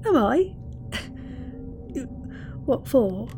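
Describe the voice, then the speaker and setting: A woman playing a polite, sceptical crewmate and narrator in a scripted audio drama, speaking in a measured way. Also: on the high side, very animated, heavily acted. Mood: mildly puzzled. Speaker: podcast host. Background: music.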